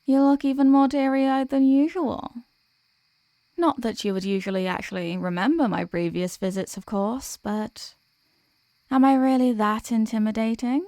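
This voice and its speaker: A woman's voice: fairly high pitch, steady tone, normal speed, mellow, dry recording, natural voice. A woman playing a teasing sleep paralysis demon who can be menacing or apologetic and tender, in an intimate ASMR audio roleplay. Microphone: close microphone, dry.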